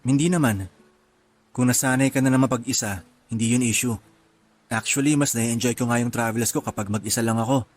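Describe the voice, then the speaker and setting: An actor in a radio drama playing a soft-spoken, laid-back young male traveler who casually mixes English into his Tagalog. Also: mid-range voice, steady tone, a little fast, long pauses, lightly acted. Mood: easygoing.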